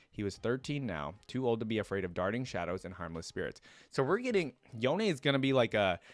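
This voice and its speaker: A man's voice: on the low side, no room sound. A man hosting a reaction video, reading lore aloud and adding informal, casual fan commentary.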